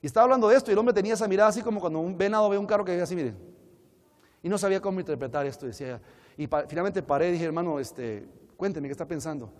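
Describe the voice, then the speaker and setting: Male preacher, Colombian accent, slightly high register, conversational teaching delivery with rhetorical questions, live in a reverberant hall. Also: very fast. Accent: Colombian Spanish. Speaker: preacher and conference speaker. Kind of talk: sermon-like seminar teaching to an audience. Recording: echoey hall with long reverb.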